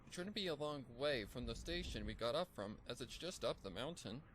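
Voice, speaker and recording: A man's voice: medium pitch, lively intonation, brisk, fairly bright tone, a little hiss or hum, close to an everyday voice. A man voicing a practical young female pony guide in a storybook reading, matter-of-fact and explanatory. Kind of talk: storybook character dialogue.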